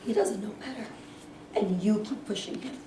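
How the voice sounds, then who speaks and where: A woman's voice: medium pitch, normal speed, warm tone, close to an everyday voice. A woman playing a concerned, blunt team physician in a staged reading, recorded from a distance in a noisy, echoey room. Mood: blunt warning.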